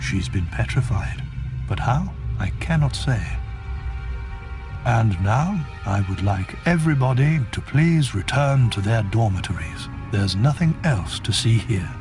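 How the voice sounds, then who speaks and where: A man voicing a grave, authoritative old wizard headmaster in a video game, solemn and measured. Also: fairly low pitch, lively intonation, music in the background.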